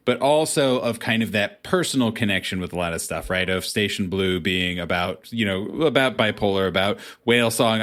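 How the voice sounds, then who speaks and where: A man's voice: fairly low pitch, fairly bright tone. A man hosting a casual interview, asking long questions that he rephrases as he goes. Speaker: podcast interviewer.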